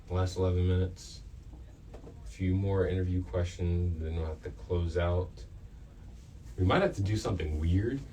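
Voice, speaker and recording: A man's voice: sits low, mellow. A man hosting a student radio interview, talking casually off the cuff and often searching for his words. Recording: slightly distant mic, faint background noise.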